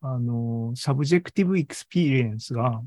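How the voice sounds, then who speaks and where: A man's voice: medium pitch, quick pace, warm tone, dry recording. A man giving an informal tech talk as an online presenter, thinking aloud and often checking for agreement. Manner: conversational, seeks agreement with frequent sentence-end tags. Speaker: presenter of an online AI seminar and podcast. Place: indoors, live online video meeting.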